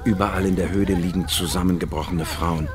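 An actor playing a scientist who narrates a radio drama gravely in the first person. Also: male voice, deep voice, steady tone, brisk, natural voice. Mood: dramatic. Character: grave male scientist narrating a dreadful alien discovery. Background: music.

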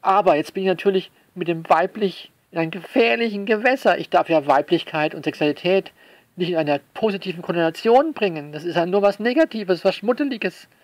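A man delivering a sarcastic spoken essay to listeners, theatrical and mock-indignant, leaning on words with drawn-out emphasis. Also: high voice, animated, dry recording. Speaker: author commenting on their own novel.

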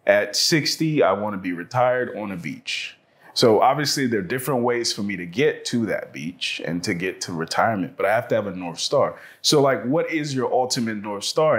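A man's voice: fairly low pitch, animated, brisk, fairly bright tone. A man hosting a casual conversation, steering it with probing questions, recorded on a lapel mic. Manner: guiding, asks probing questions. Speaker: host.

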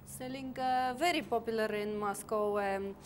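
A woman's voice: on the high side, slight background noise, close to an everyday voice. A woman playing an earnest job-seeker. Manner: broken English, halting, earnest. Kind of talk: comedy sketch acting.

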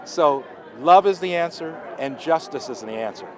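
A man's voice: on the high side, lively intonation, normal speed. A man who is a bishop speaks earnestly and emphatically in an outdoor street interview by a harbor. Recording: outdoor crowd chatter behind.

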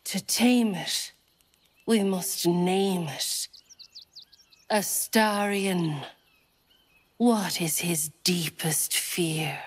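A woman voicing a solemn, tender, mystical nature priestess in a video game, speaking in a ceremonial, poetic, often breathy manner. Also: fairly low pitch, extremely slow, long pauses, full character voice. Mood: grave. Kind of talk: video game character acting.

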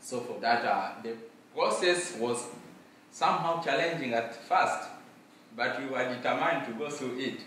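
A man's voice: mid-range voice, a little hiss or hum. Young guy from congo presenting to a room, earnest w lots of uhs, echoey and far from the mic. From Democratic Republic of Congo. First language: Mashi. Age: young adult. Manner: earnest, steady, frequent filler pauses. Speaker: student presenter. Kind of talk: formal presentation to an audience. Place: library room with bookshelves, indoors. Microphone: far camera.

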